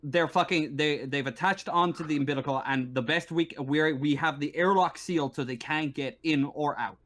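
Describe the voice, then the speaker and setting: A man playing a stern space-station marshal in a tabletop sci-fi game. He is gruff, harried and impatient, cursing freely as he stumbles through clipped, exasperated replies. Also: on the high side, moderate pace, a bit bright, pitched above the performer's own voice, lightly acted. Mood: exasperated, defensive. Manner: sweary, exasperated, stumbling over words.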